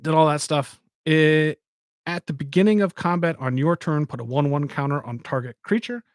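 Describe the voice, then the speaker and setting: A man's voice: fairly high pitch, moderate pace, dry recording, studio quality. A man hosting a live stream, chatting casually and rambling as he talks to his viewers. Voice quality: says he has a soft voice. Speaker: podcast host.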